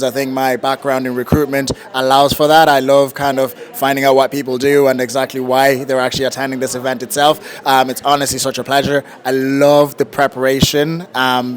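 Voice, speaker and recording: Man, event organizer testimonial, mid voice, monotone delivery. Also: medium pitch, fairly quick, few pauses. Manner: enthusiastic but steady, keeps saying honestly.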